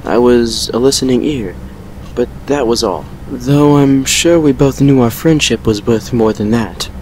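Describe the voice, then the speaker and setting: A man acts out a composed male vampire lord in a fan audio drama. He speaks at a middle pitch in measured, formal phrases, courteous and secretive, as if confiding an old tale. A faint noise sits under the recording. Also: darkish tone, natural voice, low-quality recording. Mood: reflective, grave. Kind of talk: dramatic character dialogue and storytelling.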